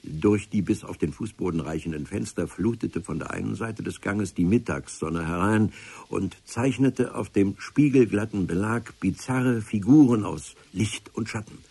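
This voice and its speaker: A man's voice: on the low side, normal speed, gravelly, dark tone, husky, no room sound. A man narrating a radio adventure serial in the first person, with a dry, ironic storytelling manner. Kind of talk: scripted radio-play narration. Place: radio drama studio.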